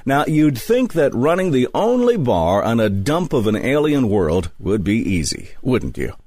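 A man's voice: mid-range voice, very animated, a little slow, darkish tone, no echo. A man playing a wry, put-upon alien-world bar owner in a scripted sci-fi comedy promo. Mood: wry, inviting. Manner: wry, direct address to listener.

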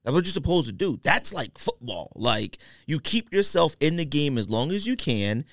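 A man's voice: on the high side, very animated, moderate pace, a bit bright, no room sound, studio quality. A man co-hosting a sports podcast, arguing casually and repeating his phrases for emphasis.

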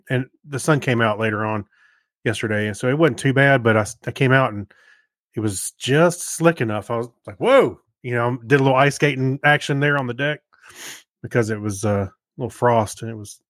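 A man's voice: medium pitch, a little fast, a bit bright, dry recording, studio quality. A man chatting casually as a podcast co-host in an easygoing, agreeable way. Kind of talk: casual chat.

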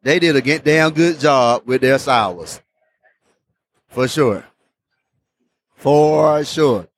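A man's voice: medium pitch, takes it slowly, no room sound, studio quality. A man hosting a casual beer tasting vlog, enthusiastic and repeating his phrases. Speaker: host.

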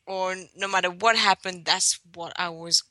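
A woman's voice: fairly low pitch, fairly even intonation, normal speed, bright, forceful tone, no echo. A young woman from Greece telling her career story as a podcast guest, hesitant with fillers and restarts. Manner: hesitant, many fillers and restarts, self-deprecating. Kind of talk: casual interview storytelling. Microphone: conference call line.

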